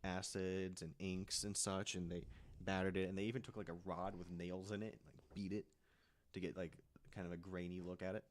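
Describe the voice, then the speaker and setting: A man's voice: deep voice, flat delivery, brisk, a little hiss or hum. A man telling a story casually to friends as a podcast panelist, conversational and checking in with listeners as he goes.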